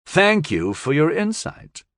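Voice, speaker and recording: A man voicing a male AI supervisor with a measured, caustic tone. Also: low-pitched, sing-song, fairly bright tone, dry recording, clean studio sound, voice pushed down, exaggerated voice. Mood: dry. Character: male AI supervisor, dry and sardonic.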